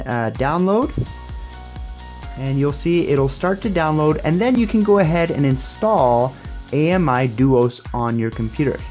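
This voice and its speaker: A man's voice: fairly high pitch, a little mellow. A young man giving a casual, upbeat hands-on tech demo and tutorial in a conversational, explanatory way. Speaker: tech reviewer and presenter.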